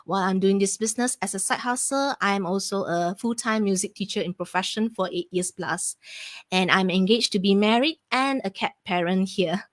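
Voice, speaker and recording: A young adult woman hosting a casual live-stream interview, talking in a halting way with filler phrases. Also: mid-range voice, dry recording. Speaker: interview host, online business mentor.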